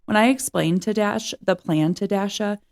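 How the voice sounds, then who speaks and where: A woman's voice: medium pitch, moderate pace, a little mellow. A woman reading a children's story aloud as narrator. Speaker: reader of a children's book.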